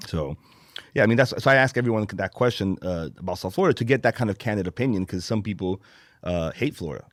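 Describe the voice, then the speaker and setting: A man from Brazil with a low voice, talking at a quick clip on a podcast. He explains things informally in long runs, like a comedian chatting with colleagues. The sound is dry and close. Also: animated, no echo. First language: Portuguese. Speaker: podcast host and stand-up comedian. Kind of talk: casual podcast chat.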